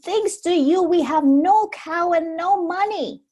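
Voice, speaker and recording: A woman voicing a cross storybook mother, scolding in a shrill, shouting way. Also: takes it slowly.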